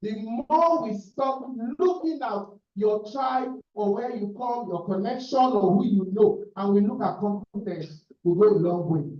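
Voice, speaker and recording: A man's voice: high-pitched, a little slow, few pauses. A man from Nigeria, a visiting club president, giving assertive remarks at a meeting. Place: meeting room. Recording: echoey room, distant dull sound.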